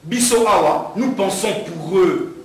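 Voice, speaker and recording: Congolese man speaking in an echoey hall. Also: measured pace. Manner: rousing, rising to fervent preaching pitch when speaking to the crowd. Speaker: political candidate at a press conference. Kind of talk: press conference speech. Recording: echoey hall from far off, some noise.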